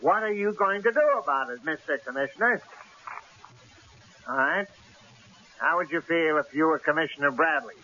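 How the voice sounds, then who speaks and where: Worried gruff newspaper editor, high sharp voice, brusque, emphatic, radio drama, hissy old recording. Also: male voice, lively intonation, normal speed, long pauses, muffled recording. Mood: declaiming, reading aloud.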